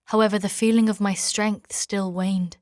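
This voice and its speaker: A woman playing a stern, devout knight in a dark fantasy audio drama, speaking and narrating in a formal, measured, archaic way. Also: mid-range voice, level tone, no echo, clean studio sound, lightly acted. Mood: solemn, reflective. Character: battle-weary female knight, stern, devout, formal, solemn narrator of her quest.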